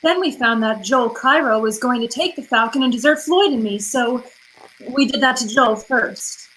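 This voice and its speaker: Femme fatale woman acting, high voice, alluring, deceitful, melodramatic pleading, old-time radio drama. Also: normal speed, fairly bright tone, a little hiss or hum, lightly acted, poor audio. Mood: coy, evasive.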